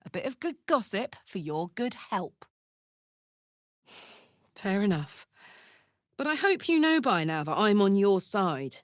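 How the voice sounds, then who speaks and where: A woman playing a big, horned hellspawn warrior in a video game, brash and casual, warm-hearted, playful and hot-tempered. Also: medium pitch, big pitch swings, slow, pauses often, dry recording, clean studio sound. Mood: earnest, friendly. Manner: brash, casual, emotionally open. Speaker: video game character voice actor. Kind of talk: expressive video game voice acting.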